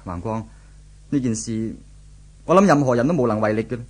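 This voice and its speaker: A male actor plays an anguished young man in an old Hong Kong radio serial. His voice is husky and medium in pitch, with a Hong Kong Cantonese accent. He speaks with the brooding, theatrical weight of a lovesick boyfriend. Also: fairly quick, close to an everyday voice. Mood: gloomy, troubled. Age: young adult. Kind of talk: 1970s radio drama acting.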